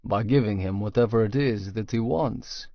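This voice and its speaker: A man's voice: fairly low pitch, moderate pace, no echo. Man voicing a cold, calculating Russian crime boss. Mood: commanding, assured. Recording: dry, slightly dull studio sound.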